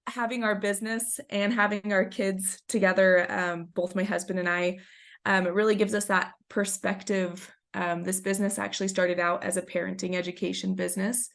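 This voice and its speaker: A woman's voice: medium pitch, little pitch movement, normal speed, gravelly. Slightly scratchy woman presenting a webinar. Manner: chatty, storytelling, frequent ums. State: getting over a cold, a little scratchy. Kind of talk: conversational presentation.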